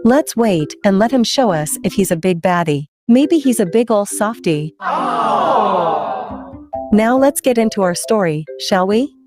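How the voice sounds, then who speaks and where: A woman's voice: mid-range voice, animated, a little slow, over music. A woman hosting story time for children, playful and chatty, putting questions to her young listeners.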